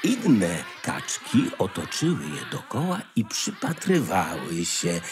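A man's voice: sits low, huge pitch swings, slow. A man narrating a scripted fairy tale as the narrator of a radio play. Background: music.

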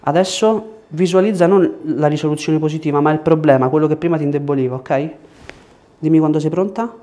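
Bright-voiced man giving soft-paced clinical instructions. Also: a little high, fairly quick. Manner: short directive phrases, explanatory. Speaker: chiropractor. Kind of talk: clinical instruction to a patient during treatment. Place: small indoor treatment room. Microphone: lapel mic. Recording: clear background room noise.

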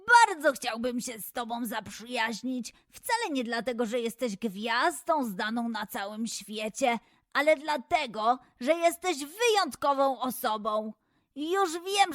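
Lady doing a shy kind cartoonish lion boy for kids, slow high voice, really dry sound. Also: a bit bright, no room sound, exaggerated voice. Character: shy, kind male lion detective, childlike and earnest. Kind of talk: children's audio storybook acting.

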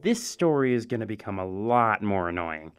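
A woman's voice: very deep voice, big pitch swings, normal speed, clean tone, soft background music. A woman playing a snarky, flirty goddess narrator in an audio drama, teasing and sardonic. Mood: dry amusement.

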